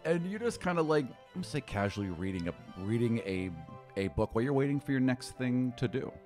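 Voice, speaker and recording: A man's voice: mid-range voice, sing-song, fairly quick, darkish tone, faint music behind. A man working as game master, narrating a tabletop game in an informal, conversational, improvised way.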